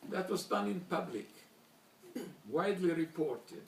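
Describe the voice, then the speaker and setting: Older man speaking slowly in an echoey hall. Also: on the high side, expressive, distant mic, a little hiss or hum. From Slovakia. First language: German. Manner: measured, deliberate, with frequent pauses and restarts. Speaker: speaker recounting childhood memories. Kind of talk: formal talk.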